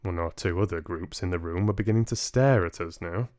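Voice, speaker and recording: A man reading a story aloud as narrator, in an expressive storytelling style. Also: deep voice, brisk. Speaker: audiobook reader, livestream host.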